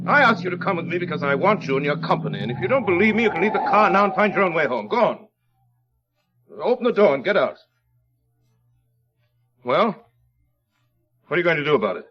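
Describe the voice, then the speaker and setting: An actor playing a brusque, brooding middle-aged widower in a 1940s radio drama, abrupt and imperious in manner. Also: male voice, sits high, very animated, fairly quick, pauses often, husky, exaggerated voice. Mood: angry. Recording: dull vintage radio recording, music behind.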